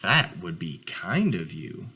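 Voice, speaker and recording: A man voicing a gentle, fond father turtle in a storybook, kindly and encouraging. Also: low-pitched, sing-song, slow, slightly rough, pitched below the performer's own voice, full character voice.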